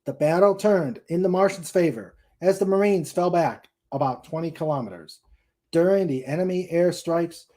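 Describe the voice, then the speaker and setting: Mellow-voiced man reading war fiction aloud. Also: a little high. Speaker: narrator reading a novel aloud. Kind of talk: dramatic reading of a sci-fi war novel.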